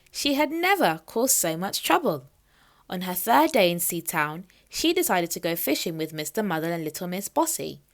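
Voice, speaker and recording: A woman reading a children's picture book aloud as an expressive storyteller. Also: medium pitch, dry recording, clean studio sound.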